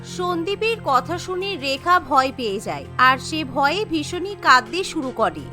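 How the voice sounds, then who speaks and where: A woman tells a cartoon story as narrator. Her voice is very high and bright, reading scripted lines over soft background music. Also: big pitch swings, unhurried.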